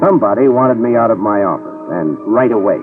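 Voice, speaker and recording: An actor in a 1950s radio detective drama playing a tough, wisecracking middle-aged private eye who narrates in dry hard-boiled style. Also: male voice, fairly low pitch, quick pace, lightly acted. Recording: tinny lo-fi 1950s radio with music cues.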